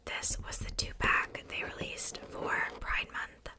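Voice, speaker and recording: A woman speaks entirely in a breathy whisper, as the host of an ASMR unboxing video. Her delivery is very unhurried, with pauses between short phrases. She sometimes repeats a word softly. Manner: slow, pausing, repeats words softly.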